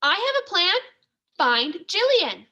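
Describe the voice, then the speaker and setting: A woman's voice: very high voice, very animated, slow, bright, forceful tone, voice pushed up, heavily acted. A woman voicing a bossy, determined young girl with a commanding manner in a children's storybook. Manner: commanding, exclamatory. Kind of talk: children's storybook read-aloud.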